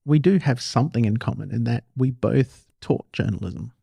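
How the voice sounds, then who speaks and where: Male talk show host, creaky and mellow, mid-pitched, conversational questioning, dry sound. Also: moderate pace, no room sound. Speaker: interview host. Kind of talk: conversational interviewing.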